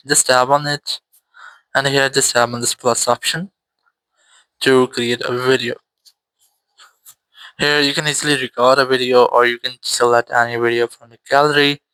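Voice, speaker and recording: A man's voice: medium pitch, pushed and bright, smooth, no room sound, low-quality recording. A man narrating a step-by-step app tutorial as its host, in an instructional, repetitive manner.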